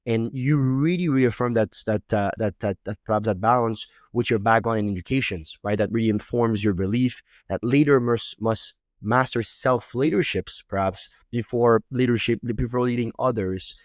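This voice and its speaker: A man's voice: mid-range voice, normal speed, few pauses, dry recording, studio quality. A man from Quebec whose first language is French, speaking English with a Québécois French accent as a podcast host, often repeating words as he builds his thoughts. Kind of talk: reflective interview talk.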